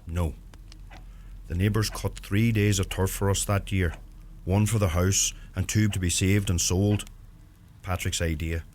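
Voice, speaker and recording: An actor playing an earnest, plain-spoken young Irish farmer with a Donegal accent, torn by guilt, in a radio play. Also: male voice, deep voice, a little mellow, slight background noise, close to an everyday voice.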